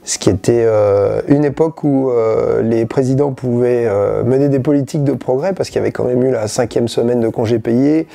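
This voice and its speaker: Man in his 40s from Normandy, candidate and schoolteacher; halting, filler-heavy interview answers; low, warm, fry-touched voice. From Normandy, France. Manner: hesitant, many fillers and restarts.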